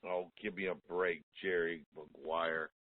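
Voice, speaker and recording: A man's voice: deep voice, little pitch movement, measured pace, no room sound. A man who is a pastor, leading a closing prayer on a conference call after some teasing. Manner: teasing, then solemn prayer. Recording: tinny phone line.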